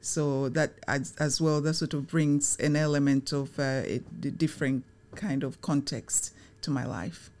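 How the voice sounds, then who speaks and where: Low-voiced Tanzanian-born woman, reflective. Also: fairly even intonation, darkish tone. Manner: reflective, unhurried, many fillers and restarts. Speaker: guest, chief operating officer. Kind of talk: interview answers.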